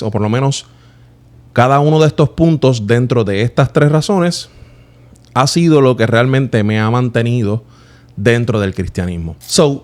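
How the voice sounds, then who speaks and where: A Puerto Rican man in his thirties with a mid-range voice talks straight to the viewer. He explains things in an earnest, personal way, like someone reflecting out loud for his channel. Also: mid-range voice. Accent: Puerto Rican Spanish. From Puerto Rico.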